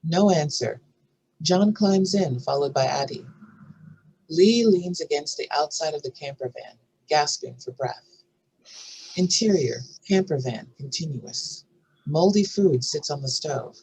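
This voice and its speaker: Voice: mid-range voice, lively intonation, unhurried, darkish tone, slightly rough, poor audio. A narrator reading scene headings and stage directions from a screenplay in a scripted style. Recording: faint background noise and room ambience.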